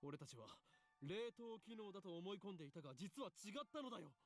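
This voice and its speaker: Rapid, grandiose young mad scientist. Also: male voice, high-pitched, expressive, no echo, faint music behind. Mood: pompous, pondering. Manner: pompous, theatrical declarations. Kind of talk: Japanese anime voice acting in a visual novel.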